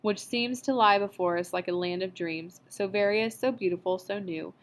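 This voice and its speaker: A woman voicing a shaken man who recites a poem slowly and solemnly. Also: medium pitch, lightly acted.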